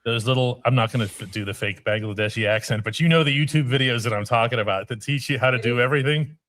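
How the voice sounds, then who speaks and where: A man's voice: mid-range voice, fast, fairly bright tone, no room sound. A man hosting a live sports podcast, reading viewer comments in a firm, emphatic way. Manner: firm, emphatic, repeats himself for stress.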